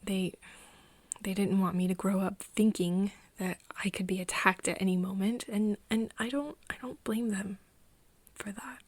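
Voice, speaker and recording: A woman acting an earnest, wistful friend in an intimate ASMR roleplay, speaking softly and haltingly, often near a whisper. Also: medium pitch, steady tone, dry recording, natural voice. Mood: reflective, resigned. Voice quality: soft, breathy, often whispery. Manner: hesitant, halting, pausing often.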